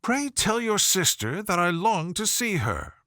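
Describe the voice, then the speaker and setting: A gushing young lady of good family, acted out by a man for an audiobook. The voice is lifted high and very animated, fawning over every word and eager to charm. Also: unhurried, no echo, clean studio sound, heavily acted. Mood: gushing, flattering. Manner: effusive, fawning. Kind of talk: audiobook character voice.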